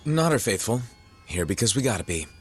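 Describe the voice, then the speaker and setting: A man's voice: lowish voice, sing-song, brisk, husky, faint music behind. An actor playing a casual, slangy young man with a slightly moody, laid-back manner in a video game. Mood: offhand. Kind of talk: video game cutscene acting.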